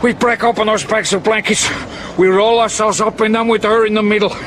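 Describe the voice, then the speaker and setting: An actor playing a tough, blunt seaman in an audio drama, giving terse, gruff commands to fellow survivors. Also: high-pitched, fairly even intonation, fairly quick, a bit bright. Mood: brusque. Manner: terse, commanding, swearing, clipped phrases. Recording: very noisy, with sea disaster sound effects.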